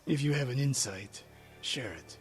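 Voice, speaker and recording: A man plays a proud older samurai archery master, bitter and haunted by failure. His speech is stern, formal and clipped, in a middle register. It is dramatic video game dialogue with clear music behind it. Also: medium pitch, expressive, moderate pace, lightly acted. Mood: guarded, curt. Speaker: archery sensei.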